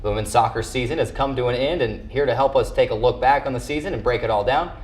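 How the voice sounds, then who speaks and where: A man's voice: medium pitch, fast talker, darkish tone. A man hosting a sports show, delivering recaps and interview questions as a play-by-play announcer.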